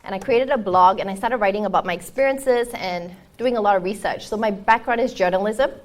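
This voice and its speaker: A woman's voice: mid-range voice, a little fast. A woman in her thirties from Singapore with a Singaporean English accent, giving a conference talk in a chatty storytelling way with lots of quoted dialogue. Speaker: conference speaker, startup founder.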